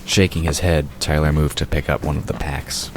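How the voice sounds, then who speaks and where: A male narrator with an extremely deep voice reads scripted fiction. Clear noise runs behind his storytelling. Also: level tone, normal speed.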